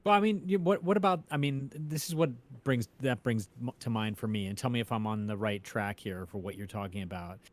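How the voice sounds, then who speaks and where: A man's voice: lowish voice, brisk, few pauses. A male co-host in a casual music discussion, explaining ideas tentatively and checking that he is on track.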